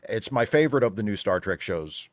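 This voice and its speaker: A man's voice: lowish voice, lively intonation, fairly quick, no room sound. A man chatting casually and giving his opinions emphatically, with exasperated rhetorical asides. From United States. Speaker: panelist on a fan talk show.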